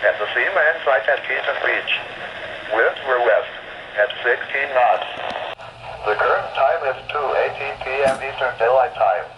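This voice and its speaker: Automated weather radio announcer, male text-to-speech, formal segmented readout, muffled radio sound with clear noise. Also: mid-range voice, moderate pace. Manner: flat, formal, segmented reading. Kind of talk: scripted weather report readout. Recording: dull noisy weather radio broadcast.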